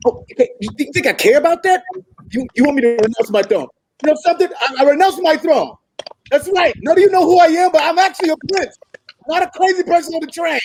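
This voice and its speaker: A man acts out an earnest young African prince in a comedy table read. He pleads dramatically in a high, creaky voice, repeating himself, over faint noise. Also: fast, a little mellow, poor audio. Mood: impassioned.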